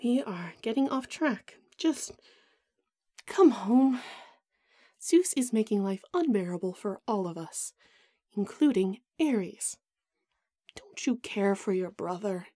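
A woman's voice: mid-range voice, animated, takes it slowly, long pauses, dark tone, dry recording, clean studio sound. A woman playing a vain, haughty goddess in an audio roleplay drama, melodramatic and put-upon with a whiny edge. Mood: pleading, annoyed.

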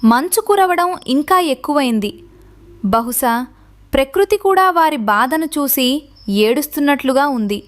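A woman's voice: high voice, lively intonation, moderate pace. A woman narrator reading a scripted story for children.

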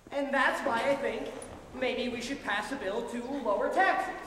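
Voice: mid-range voice, moderate pace, a bit bright, lightly acted. A performer playing a naive, hesitant small-town politician who stammers and is easily interrupted, in an amateur stage comedy. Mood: confused, nervous. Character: timid, naive, eager-to-please new governor, a nice small-town man. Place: large theater stage, audience behind. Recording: echoey theater from afar, audience noise.

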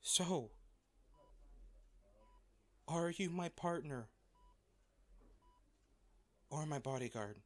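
A man playing a wealthy, devoted, protective lover in a romantic audio roleplay, speaking tenderly and earnestly with halting phrases. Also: on the high side, expressive. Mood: pleading, earnest.

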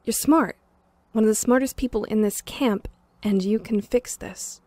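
A woman's voice: fairly high pitch, moderate pace, dark tone, dry recording, lightly acted. Dry-sounding, caring, insistent woman acting a campmate. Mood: earnest urging. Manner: soothing, earnest, firm. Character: calm, steady, caring campmate, gently insistent. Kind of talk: fan audio drama acting.

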